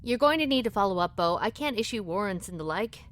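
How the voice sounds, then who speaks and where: An actress playing a proud, secretive businesswoman who speaks briskly and tartly in a radio play. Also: medium pitch, expressive, a bit bright, lightly acted. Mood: assertive. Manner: brisk, tart and defensive. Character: sharp, proud woman business owner, secretive. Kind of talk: radio drama acting.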